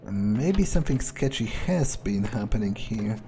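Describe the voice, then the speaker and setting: A man's voice: fairly low pitch, lively intonation, unhurried, vocal fry, dark tone, soft background music. A man doing improvised in-character commentary on a game, thinking aloud in a nonchalant, hesitant way. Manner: nonchalant, musing, hesitant phrasing. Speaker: gamer doing an in-character playthrough.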